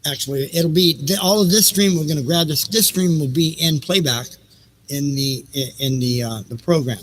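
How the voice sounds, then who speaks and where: A man's voice: fairly high pitch, moderate pace, fairly bright tone, low-quality recording. A man hosting a livestream in casual, improvised chat, rambling as he thinks aloud and repeats his phrases. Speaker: host of a livestreamed reading and music show.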